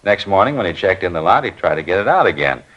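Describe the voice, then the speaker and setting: A man's voice: deep voice, big pitch swings, fast talker, fairly bright tone, heavily acted. An actor playing a talkative film studio worker who rambles through his stories as a witness in a 1950s radio crime drama. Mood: chatty. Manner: chatty, rambling storyteller. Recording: muffled old radio recording.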